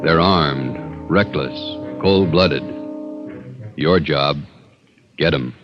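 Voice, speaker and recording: A man's voice: a bass voice, extremely slow. An American man reading terse, portentous 1950s radio narration in a grave manner. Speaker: programme narrator. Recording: muffled vintage radio with loud music.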